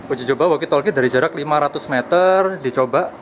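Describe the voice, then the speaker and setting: A man's voice: a little high, quick pace, highs cut off. Young man vlogging over outdoor noise. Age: young adult. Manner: conversational, explanatory. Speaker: gadget review vlogger. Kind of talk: casual review to camera. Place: outdoors in a street and park. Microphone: handheld camera at arm's length. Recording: clear outdoor street and vehicle noise.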